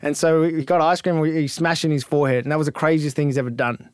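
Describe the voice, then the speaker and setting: A man's voice: a little high, fairly even intonation, quick pace, clean tone, dry recording, studio quality. A man in his thirties co-hosting a casual comedy podcast, teasing and playful in how he talks. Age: 30s. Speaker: podcast co-host.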